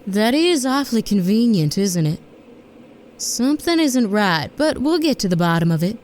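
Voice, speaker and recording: A woman's voice: medium pitch, sing-song, a little mellow, close to an everyday voice. Sardonic space-mission leader, played by a woman. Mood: determined. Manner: sardonic, brisk. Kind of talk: audio drama acting. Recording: noisy background ambience.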